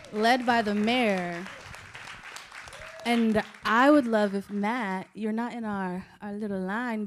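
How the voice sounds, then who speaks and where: A woman moderating a post-show discussion in an appreciative, encouraging way as she addresses the panel and audience. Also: mid-range voice.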